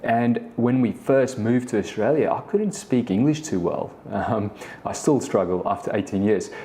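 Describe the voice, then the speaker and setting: A man's voice: mid-range voice, normal speed, warm tone. A man in his thirties with a South African accent, Afrikaans his first language, hosting a casual solo podcast and telling a story with many restarts. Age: 30s. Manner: rambling, frequent restarts and "the thing is". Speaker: podcast host, business co-founder.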